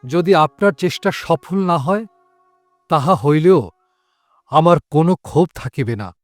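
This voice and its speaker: A principled prisoner in a radio play, lightish high voice, resolute n formal, music under it. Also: male voice, lively intonation, a little slow, no echo, clean studio sound. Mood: resolute, earnest. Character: selfless, principled male captive, dignified and grateful. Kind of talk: Bengali audio drama acting.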